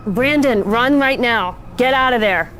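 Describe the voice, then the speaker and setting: A woman's voice: on the high side, unhurried, fairly bright tone, soft background music. A woman playing a firm, controlled emergency dispatcher in an audio drama. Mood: urgent, commanding.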